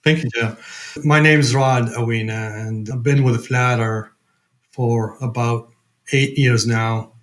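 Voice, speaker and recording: A male podcast guest with an Iraqi accent speaks in a medium-pitched voice. He talks conversationally, as if giving a personal account. His delivery is halting as he looks for the right words. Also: fairly even intonation. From Iraq. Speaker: podcast guest, program director.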